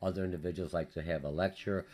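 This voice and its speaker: A man with a Polish accent, a college business instructor giving a hurried, energetic recorded class update full of fillers and restarts. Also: sits low. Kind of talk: recorded course update lecture.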